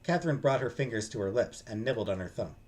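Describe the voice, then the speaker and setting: A man with a medium-pitched voice hosts a game stream and reads a visual novel aloud. His delivery is animated and dramatic, with pitch that moves around a lot as he narrates. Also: fast.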